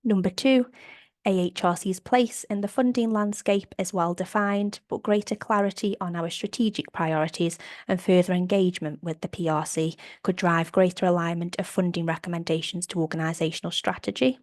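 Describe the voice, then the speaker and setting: Croaky woman presenting survey findings. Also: lowish voice, steady tone, normal speed, a little mellow, dry recording, clean studio sound. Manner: upbeat, clear and methodical. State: slight cold, a bit croaky. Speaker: operations manager presenting survey data. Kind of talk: webinar slide presentation. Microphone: video call.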